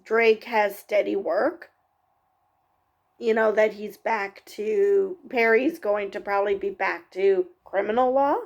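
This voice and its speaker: Slow, gravelly woman recapping an episode. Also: mid-range voice, darkish tone. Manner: halting, many ums and self-corrections. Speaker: TV review vlogger. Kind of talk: casual unscripted episode recap.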